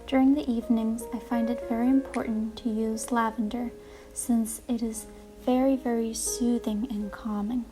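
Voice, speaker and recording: A woman hosting a guided relaxation session, speaking in a calm, gentle, deliberate way with soothing narration. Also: on the high side, low-quality recording. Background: music playing behind the voice.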